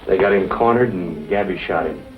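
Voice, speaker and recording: An actor playing a menacing crook in an old western film, smooth-talking with a threatening edge. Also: male voice, deep voice, brisk, close to an everyday voice. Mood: coaxing. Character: menacing male crook coaxing and threatening a boy. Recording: muffled vintage film soundtrack, music underneath.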